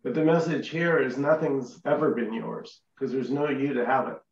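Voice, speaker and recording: Gravelly man, distant and roomy. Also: mid-range voice, fairly even intonation, moderate pace, dull audio. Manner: emphatic, repeats short denials. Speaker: host. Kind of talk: casual group chat on nonduality.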